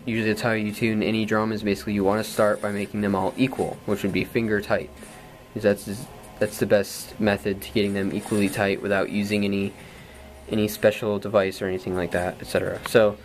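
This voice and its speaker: A man in his twenties with a low voice, explaining drum tuning in a rambling, casual way with many ums, recorded in a small padded bedroom on a camera mic. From Florida, USA. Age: 20s. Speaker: drum instructor. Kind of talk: casual tutorial. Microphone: camera mic from a few feet away.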